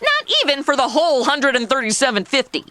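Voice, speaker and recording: A woman's voice: high voice, big pitch swings, quick pace, sharp tone, full character voice. An actress playing a sassy, outspoken modern woman, sarcastic and indignant, in a comedy audio drama. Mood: flippant.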